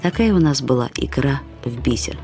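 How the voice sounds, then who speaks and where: A woman reading literary prose as a radio storyteller, in an expressive, reflective, measured way. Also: extremely low pitch. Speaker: narrator of a short story. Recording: studio radio production with music. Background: music.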